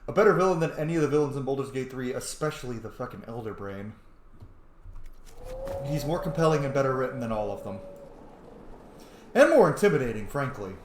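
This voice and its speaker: A man gives casual let's play commentary in a medium-pitched voice. He is opinionated and joking, with loose, sweary asides. The room echoes and faint game ambience sits behind him. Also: very animated, fairly quick, long pauses, a little hiss or hum. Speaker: YouTuber, gaming host. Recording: roomy echo, game sound behind.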